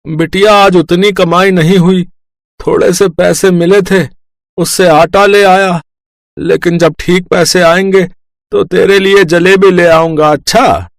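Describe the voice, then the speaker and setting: A man's voice: high-pitched, fairly even intonation, a little slow, no echo, clean studio sound. A man voicing a poor, meek and devout village toy seller and father in a cartoon story. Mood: apologetic. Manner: meek, apologetic.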